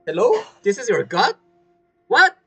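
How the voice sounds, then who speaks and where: Gameplay commentator: man, very animated pitch, jokey and informal, soft game score behind. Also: unhurried, slightly rough, soft background music. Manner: jokey, acts out imagined quotes, slangy. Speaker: game streamer.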